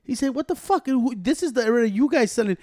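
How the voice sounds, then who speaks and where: A man chatting as a podcast co-host, fast and profane, switching between Spanish and English. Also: very high-pitched, expressive, a little mellow, dry recording, studio quality. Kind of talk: casual chat.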